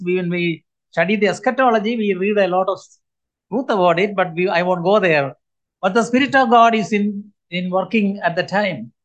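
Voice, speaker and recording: A man in his seventies preaching a sermon, his voice sitting high for a man. He speaks earnestly and with emphasis, pausing often between phrases. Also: low-quality recording. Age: 70s.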